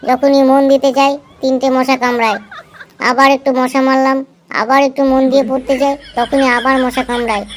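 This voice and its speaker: Cheeky cartoon schoolboy, done by a woman, high voice, pleading, long-winded, faint noise behind. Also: little pitch movement, moderate pace, lightly acted. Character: cheeky schoolboy full of silly excuses. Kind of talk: amateur cartoon dub.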